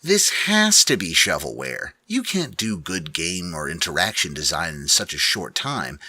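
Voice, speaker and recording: A man's voice: low voice, big pitch swings, a little slow, bright, forceful tone, breathy edge, heavily acted. A man voicing a skeptical, argumentative male gamer who grumbles in disbelief, in a dramatic story reading. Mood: incredulous. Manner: protesting, argumentative.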